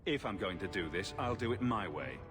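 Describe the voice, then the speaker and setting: An actor playing a bold, defiant champion adventurer in a video game, direct and dry. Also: male voice, on the low side, expressive, a little fast, music in the background, lightly acted.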